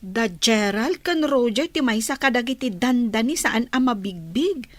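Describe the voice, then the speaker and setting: A woman's voice: a little high, animated, measured pace, no room sound. A woman playing an inquisitive young female companion in an Ilocano radio drama.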